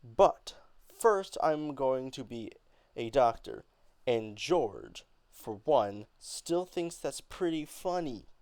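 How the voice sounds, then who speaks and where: A man voicing an angry, defiant young woman in an amateur dramatic play reading, with halting, emphatic delivery. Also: mid-range voice, expressive, darkish tone, clear, resonant tone, no echo, pitched above the performer's own voice.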